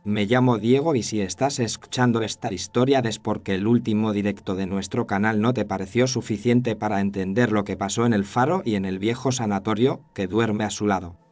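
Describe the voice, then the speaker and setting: A man playing a haunted, regretful cameraman who narrates a scripted horror story in the first person, solemn and measured. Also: lowish voice, steady tone, a little mellow, close to an everyday voice.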